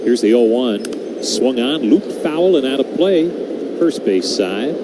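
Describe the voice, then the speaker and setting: A man's voice: animated, takes it slowly. Male baseball radio announcer over heavy noise. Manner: clipped pitch calls between conversational asides. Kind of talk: live radio sports play-by-play commentary. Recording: very noisy live broadcast, constant background hum.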